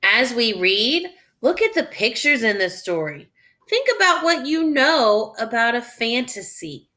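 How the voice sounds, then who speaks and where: A woman reading a children's story aloud like a teacher, thinking out loud and guiding young listeners in a deliberate, instructional way. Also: a little high, big pitch swings.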